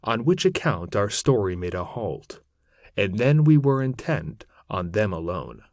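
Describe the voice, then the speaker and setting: Male narrator, epic poetry recitation, slow deliberate pace, animated intonation, solemn, theatrical, archaic English. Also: lowish voice, no echo, studio quality. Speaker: narrator reading poetry aloud.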